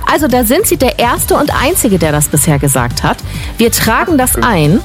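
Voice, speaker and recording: A woman's voice: fairly high pitch, sing-song, moderate pace, faint music behind. A woman co-hosting a morning radio show, chatting cheerfully with a caller during a giveaway. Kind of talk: upbeat radio giveaway chat. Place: radio studio.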